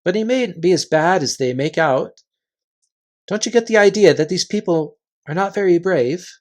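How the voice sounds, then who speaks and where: A gentle, earnest young girl, acted by a man reading a storybook. The voice is lifted slightly and soft-spoken, with a brave, resolute manner. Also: high-pitched, expressive, moderate pace.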